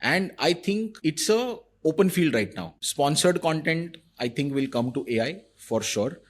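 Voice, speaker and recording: A man's voice: fairly high pitch, expressive, a little slow. A man, a marketing executive, answering interview questions in a thoughtful, explanatory way with frequent fillers.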